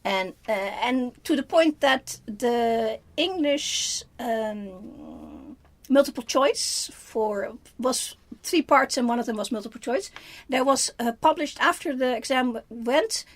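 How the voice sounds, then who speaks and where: A woman's voice: on the high side, slow pace. A Dutch woman co-hosting a film review, chatting casually and thinking aloud with frequent restarts. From Netherlands. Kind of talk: casual chat.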